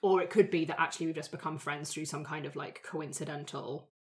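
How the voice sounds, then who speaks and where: Woman with a lowish voice chatting casually in a roleplay group, thinking out loud. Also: steady tone, brisk. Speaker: tabletop roleplay player.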